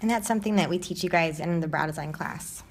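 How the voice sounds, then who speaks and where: A woman's voice: low-pitched, quick pace, husky. A woman from a training team making casual, instructive asides to online viewers. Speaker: colleague in brow training team.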